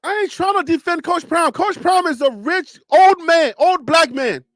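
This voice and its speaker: A man's voice: moderate pace, no echo, clean studio sound. A man with a Liberian accent hosting a live stream, arguing emphatically with rhetorical questions and teasing. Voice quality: animated, rising pitch when arguing. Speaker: live show host. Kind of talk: casual live-stream commentary.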